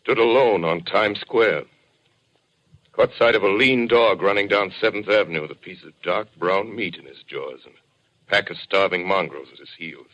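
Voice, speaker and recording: An actor playing a sombre, educated survivor in a 1930s radio drama, speaking in a grave, literary, deliberate manner. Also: male voice, sits low, fairly bright tone. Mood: sombre, haunted. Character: sombre, educated male survivor recounting a desolate journey. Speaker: radio drama lead and narrator. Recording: dull, band-limited 1930s radio recording.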